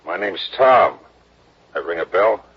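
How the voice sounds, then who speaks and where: A man's voice: sits low, expressive, measured pace, slightly rough, heavily acted. A man playing an easygoing drifter in a 1950s radio drama, drawling and hesitant. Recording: muffled old radio broadcast.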